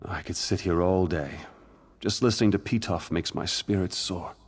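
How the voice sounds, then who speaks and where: A deep, husky male voice, a devout townsman in a fantasy game. He speaks as a friendly worshipper at ease with his faith. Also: normal speed. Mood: serene, friendly.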